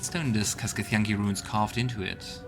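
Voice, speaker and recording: Low-voiced man doing gameplay commentary over music. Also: steady tone, normal speed. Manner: thinks aloud, reads game text out. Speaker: let's play host. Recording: game music behind the voice. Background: video game music.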